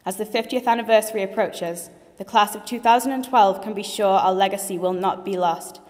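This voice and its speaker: A graduating girl in her late teens speaks at a leavers' assembly, earnest and heartfelt, with the odd joke. Her voice sits at an ordinary middle pitch for a young woman. The sound carries the long reverb of a large hall. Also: a little fast, darkish tone. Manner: earnest and heartfelt, with light jokes. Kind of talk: formal graduation speech.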